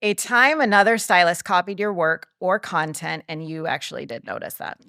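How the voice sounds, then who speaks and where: A woman hosting a podcast, putting quick questions to her guest and chiming in with short affirmations. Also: on the low side, bright, forceful tone, no room sound, studio quality. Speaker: podcast host. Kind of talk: interviewing, asking set questions.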